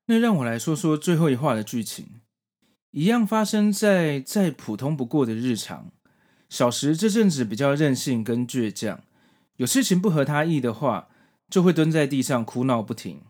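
A man's voice: mid-range voice, darkish tone. Guy hosting a podcast, explaining a story from notes, really varied intonation. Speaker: podcast host. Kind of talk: scripted narration of manga story and commentary.

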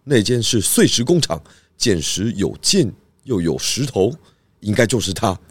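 A man playing a clever, methodical pig detective who explains deliberately in a children's audio drama. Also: on the low side, big pitch swings, slightly rough, no room sound. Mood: deducing.

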